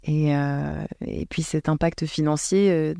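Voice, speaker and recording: A woman's voice: low voice, steady tone, takes it slowly, gravelly, dark tone, no echo, clean studio sound. A woman guest talking thoughtfully in a casual podcast conversation, restarting her sentences often. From France. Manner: thoughtful, hesitant with many restarts.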